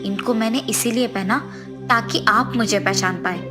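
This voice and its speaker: A woman's voice: medium pitch, normal speed, music in the background, pitched below the performer's own voice, close to an everyday voice. A woman voicing the anxious, remorseful ghost of a dead wife in a cartoon story, earnest and pleading.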